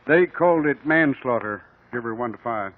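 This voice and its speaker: A man's voice: mid-range voice, animated, normal speed, narrow, tinny audio, natural voice. Man playing a folksy country sheriff on old radio. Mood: grave, matter-of-fact. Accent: American. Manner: rustic, ungrammatical, drawling country talk. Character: folksy, plainspoken small-town male sheriff. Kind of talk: 1950s radio drama acting. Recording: old band-limited radio recording.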